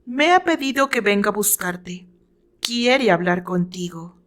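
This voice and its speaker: Woman playing stiff, suspicious man, slow-spoken. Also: mid-range voice, expressive, close to an everyday voice. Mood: matter-of-fact. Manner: stiff, probing. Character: serious, suspicious man, a musician questioning his lover.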